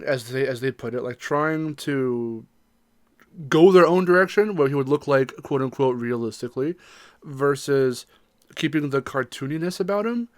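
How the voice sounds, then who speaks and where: A man in a casual podcast discussion, speaking at an average pitch. He makes his points emphatically and with firm opinions. The sound is dry, with no room to it. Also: no echo. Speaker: podcast co-host.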